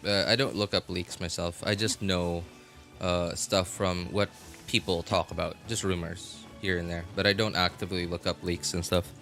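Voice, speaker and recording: Low, bright-voiced male game streamer. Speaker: live streamer. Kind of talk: casual game stream chat, answering viewers. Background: music.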